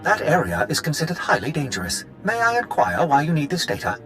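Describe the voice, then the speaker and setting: Prim high-pitched archivist droid. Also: male voice, huge pitch swings, a little fast, fairly bright tone, faint music behind. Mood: polite, helpful. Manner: formal, fussy, theatrical. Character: prim, fussy, polite male archivist droid. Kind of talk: video game cutscene acting.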